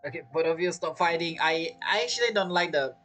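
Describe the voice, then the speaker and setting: A woman's voice: on the low side, fast, a bit bright. A woman giving casual, reactive commentary as she streams a video game. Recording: game music behind the voice.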